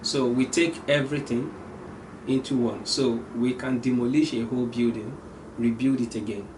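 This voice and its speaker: A man's voice: mid-range voice, steady tone, moderate pace, noisy background. A man from Nigeria, a company owner, answering interview questions earnestly with frequent hesitations. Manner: earnest, hesitant with many ums.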